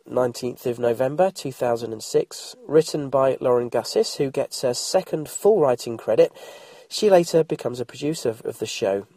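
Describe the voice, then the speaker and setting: A man's voice: mid-range voice, dark tone, breathy edge, no room sound. A middle-aged man with an English accent, bunged up and throaty with a cold, chatting informally as a solo podcast host with wry, self-deprecating asides. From England. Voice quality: congested, throaty.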